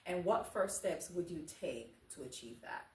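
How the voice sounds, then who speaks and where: A woman's voice: lowish voice, lively intonation, normal speed, a little mellow. A woman hosting a talk show, interviewing in a conversational, encouraging and affirming way. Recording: roomy echo, distant mic, faint noise.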